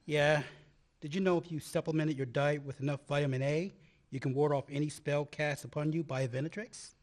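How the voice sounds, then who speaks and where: A man's voice: on the high side, steady tone, brisk, natural voice. An actor playing an earnest man in love, a historical researcher, in a dramatic radio-play style. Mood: teasing. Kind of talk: stage radio play acting.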